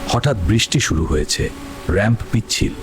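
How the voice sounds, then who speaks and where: A man's voice: lowish voice, lively intonation, brisk. A man reading a scripted, dramatic story as narrator. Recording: loud background music.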